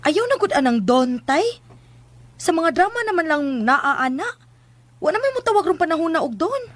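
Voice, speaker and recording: An actress with a Cebuano Filipino accent playing an ambitious, playful young daughter in a radio drama, chatty, teasing and insistent. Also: female voice, very high voice, big pitch swings, normal speed, dry recording.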